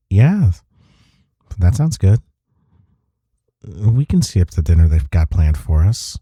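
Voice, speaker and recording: Male actor for tender, teasing coworker love interest, audio roleplay; low voice, slow halting murmurs. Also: very animated, mellow, dry recording, studio quality, heavily acted. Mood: playful, warm. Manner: hesitant, halting, breathy sighs and murmurs. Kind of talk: intimate romantic audio roleplay acting.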